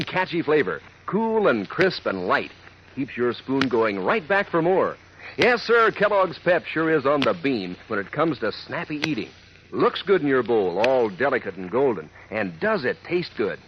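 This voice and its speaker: A man's voice: medium pitch, a little hiss or hum. A man as a 1940s radio announcer delivering a folksy, enthusiastic advertisement. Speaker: announcer reading a sponsor ad. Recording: dull vintage radio recording.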